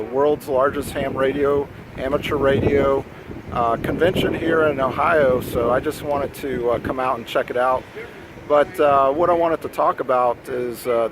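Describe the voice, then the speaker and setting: A man speaking informally to the camera as a vlogger and amateur radio enthusiast. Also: mid-range voice. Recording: outdoor recording with clear ambient noise.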